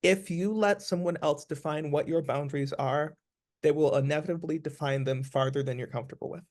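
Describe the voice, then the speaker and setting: A man's voice: a little high, a little fast, darkish tone. A man speaking as an interview guest, giving advice conversationally in an earnest, emphatic way, repeating phrases for stress.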